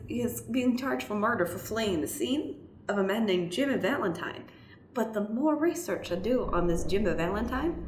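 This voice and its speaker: A woman's voice: a little high, roomy sound, slight background noise, natural voice. A woman playing a breezy, earnest middle-aged lawyer in an improvised comedy radio play.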